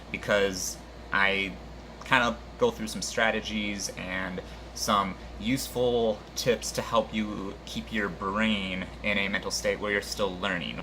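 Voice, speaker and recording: Deep-voiced man rambling casually. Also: background noise. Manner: rambling, filler-heavy, unhurried. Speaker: channel host. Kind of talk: casual vlog introduction.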